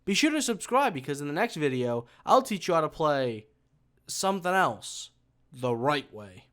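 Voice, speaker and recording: A man's voice: a little high, sing-song, moderate pace, fairly bright tone. A man narrating a comedic how-to as an online video host, sarcastic and mocking, swearing freely and often sounding exasperated. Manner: foul-mouthed, mocking, exasperated rants. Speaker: YouTube host.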